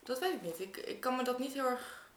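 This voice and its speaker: A woman's voice: high voice, lively intonation, brisk. Hesitant woman talking in an echoey room. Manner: hesitant, searching for words. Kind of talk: casual reflective conversation. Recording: roomy, echoing room sound.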